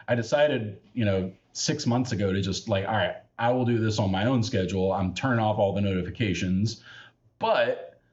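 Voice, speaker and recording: A man's voice: fairly low pitch, a little mellow, dull audio. An American man hosting a podcast, chatting casually with lots of fillers and easy laughter. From United States. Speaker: podcast host.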